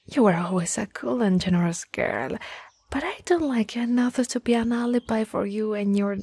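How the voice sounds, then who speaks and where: A woman playing a tall, teasing, caring young childhood friend in an ASMR roleplay, with a soft, breathy, slightly husky voice and a gently coaxing manner. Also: on the high side. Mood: relieved, caring. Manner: slow, playful, gently coaxing.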